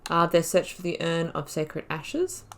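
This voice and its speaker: A woman with a low voice narrates her video game playthrough casually. She thinks aloud, reflective, and reads dialogue choices in character. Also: sing-song, a little slow, slight background noise. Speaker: gaming YouTuber. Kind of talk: casual let's-play commentary, reading dialogue options aloud.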